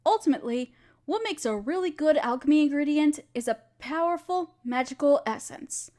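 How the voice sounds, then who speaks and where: High-pitched, encouraging alchemist teacher played by a woman. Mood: thoughtful, encouraging. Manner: gentle, encouraging, instructive. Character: encouraging female alchemist teacher, excited for her student. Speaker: audio roleplay performer. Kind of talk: fantasy audio roleplay, speaking directly to the listener.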